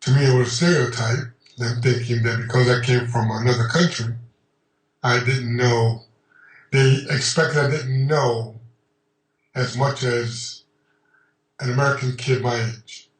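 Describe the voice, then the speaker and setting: A man from Honduras speaking in a documentary interview, measured and reflective, pausing with ums. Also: mid-range voice, fairly even intonation. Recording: roomy sound from a distant mic.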